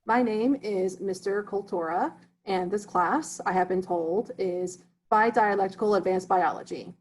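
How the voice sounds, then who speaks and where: Man reading stiff, erudite immigrant teacher, breathy, formal, no articles. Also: high voice. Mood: earnest. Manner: formal, drops articles, precise and measured. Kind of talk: amateur play reading.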